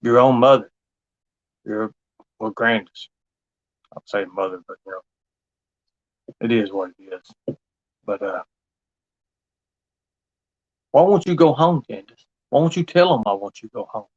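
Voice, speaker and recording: On dull, lo-fi livestream audio, a man talks at a middling pitch. He is insistent and repetitive, pressing his points in a confrontational monologue. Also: a little slow, long pauses. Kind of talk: impassioned monologue to an absent person.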